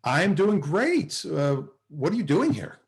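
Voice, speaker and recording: A man acting a flustered, smitten office salesman in a sitcom table read, with a halting, excitable delivery. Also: fairly high pitch, exaggerated voice. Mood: awkward banter. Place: video call at a table read.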